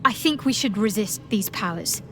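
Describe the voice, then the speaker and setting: An actress playing a cautious, sceptical companion in a fantasy video game, with a measured, wry delivery. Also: female voice, medium pitch, animated, over music, close to an everyday voice. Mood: wary, wry. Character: guarded, sceptical young woman adventurer, wryly cautious.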